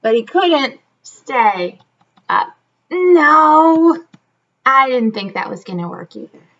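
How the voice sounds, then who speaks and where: A woman's voice: high-pitched, big pitch swings, takes it slowly, a bit bright, muffled recording. A woman reading a children's story aloud expressively, like a teacher, adding chatty asides straight to her young listeners.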